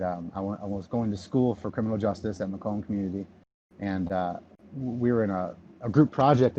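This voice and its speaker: A man in his thirties from the Detroit area, chatting casually on a podcast and rambling with many ums and restarts. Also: dark tone. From Michigan, near Detroit. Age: 30s. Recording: dull low-fi audio, faint noise.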